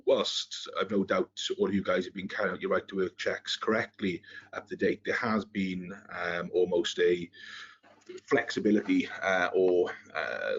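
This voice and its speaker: A man's voice: deep voice, fairly bright tone, slightly rough. A man with a thick Welsh accent gives a business webinar presentation over a video call, hesitating with many fillers and restarts. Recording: muffled lo-fi online call audio.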